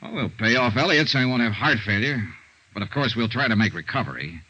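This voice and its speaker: A man's voice: mid-range voice, animated, fast talker, bright, forceful tone, highs cut off. An actor playing a wry, unruffled male insurance investigator in a dry, businesslike 1950s radio detective style. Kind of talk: 1950s detective radio drama acting, some first-person narration.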